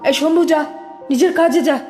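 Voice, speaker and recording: A woman's voice: high-pitched, fairly even intonation, fairly quick, a little mellow, in a large hall, faint music behind, close to an everyday voice. Flustered, stammering older mother-in-law played by a woman. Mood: flustered, dismissive. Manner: stammering, repeating, shooing commands. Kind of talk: dramatic audio play acting.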